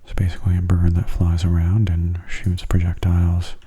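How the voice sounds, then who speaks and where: A man giving soft-spoken ASMR gameplay commentary in a gentle, unhurried, breathy half-whisper, close to the microphone. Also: monotone. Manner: gentle, unhurried, low-key.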